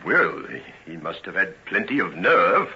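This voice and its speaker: An actor playing a polite but persistent Victorian police inspector in an old-time radio drama. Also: male voice, sits low, very animated, unhurried. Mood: methodical, persistent. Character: probing, methodical male police inspector of Victorian London. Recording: dull vintage radio recording.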